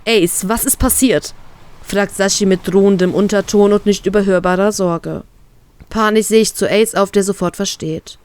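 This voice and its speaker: A woman reading her own fan fiction aloud in an expressive, emotional way. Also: medium pitch, moderate pace, smooth. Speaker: fan fiction author and reader.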